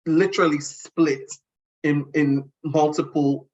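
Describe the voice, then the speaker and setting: A woman's voice: low-pitched, steady tone, takes it slowly, darkish tone, dull audio. A middle-aged woman, a guest speaker, answering interview questions earnestly and reflectively, often restarting her sentences.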